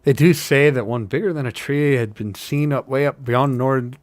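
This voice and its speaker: A man's voice: mid-range voice, normal speed, no echo, voice pushed up, natural voice. Man putting on earnest Irish-sounding working hobbit. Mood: earnest insistence. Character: earnest simple working hobbit, wonder-struck male tale-teller. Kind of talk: audiobook-style fantasy character reading.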